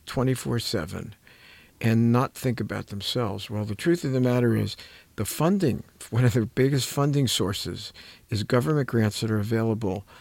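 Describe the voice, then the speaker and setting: A man's voice: medium pitch, vocal fry, a little mellow, dry recording. An older man, a clinician, talking reflectively and earnestly, searching for his words and leaning on key ones. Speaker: clinician and programme host. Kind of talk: reflective conversational talk on caregiving.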